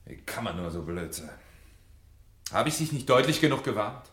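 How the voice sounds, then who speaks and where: An actor playing a domineering, sardonic fire captain in a radio drama, with a commanding, mocking manner. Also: male voice, lowish voice, sing-song, moderate pace, fairly bright tone, echoey room. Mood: scornful, angry. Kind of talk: 1970 German radio drama acting.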